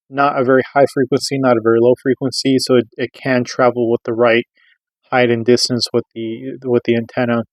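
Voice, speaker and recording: A man's voice: mid-range voice, no echo, poor audio. A middle-aged American man giving a casual product review, explaining things in an informal, rambling way. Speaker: radio reviewer.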